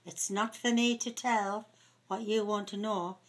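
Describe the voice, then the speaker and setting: A woman with a husky, breathy voice of middling pitch reads stage dialogue as an amateur. She has a North East English pitmatic accent from around Houghton-le-Spring. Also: steady tone, measured pace. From north-east England. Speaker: amateur script reader. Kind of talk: dramatic dialogue read-through.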